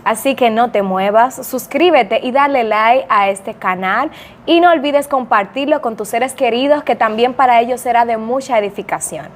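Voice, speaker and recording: A woman's voice: fairly high pitch, normal speed. A woman hosting a church podcast, upbeat and affirming as she welcomes and questions her guest. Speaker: podcast host.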